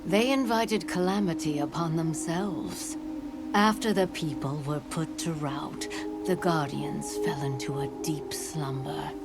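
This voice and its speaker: A woman playing a solemn, all-knowing lore keeper in a video game, speaking in a measured, formal way that turns breathy at phrase ends. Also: low voice. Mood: solemn, grave. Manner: measured, grave, formal storytelling delivery. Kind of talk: cinematic video game dialogue. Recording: loud music under the voice. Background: loud music.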